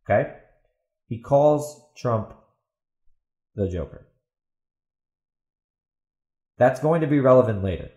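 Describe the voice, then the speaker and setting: A man's voice: medium pitch, animated, measured pace, darkish tone, roomy sound. A man hosting a podcast, giving opinionated commentary in a sarcastic, emphatic way and repeating himself for stress. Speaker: podcast host and author.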